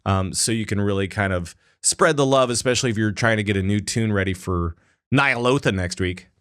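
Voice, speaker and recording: A man's voice: lowish voice, a little fast, fairly bright tone, smooth. Rambling male podcast host. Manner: rambling, heavy on filler words. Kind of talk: casual gaming podcast chat.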